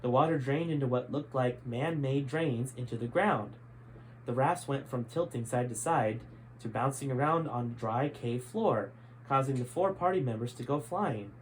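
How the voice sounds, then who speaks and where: A man's voice: mid-range voice, normal speed. A man narrating a story in an enthusiastic, dramatic storyteller style as he hosts a reading of his book. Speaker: host reading a chapter of their own book. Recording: clearly noisy background ambience.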